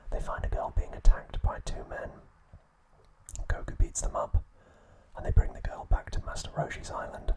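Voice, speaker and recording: A man narrating trivia as an ASMR host, telling it softly and without hurry. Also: rough recording.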